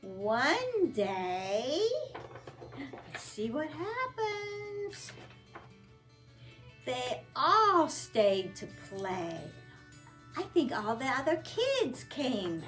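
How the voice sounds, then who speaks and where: A woman's voice: high voice, sing-song, drawn-out words, long pauses, fairly bright tone, soft background music. A woman reading aloud at a children's storytime as a librarian, in a sing-song manner with simple questions to young listeners.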